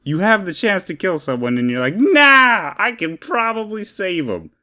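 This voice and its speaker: A man's voice: high-pitched, big pitch swings, moderate pace, bright, forceful tone. A man co-hosting a casual pop-culture podcast, talking in an opinionated, emphatic and rambling way. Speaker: podcast co-host.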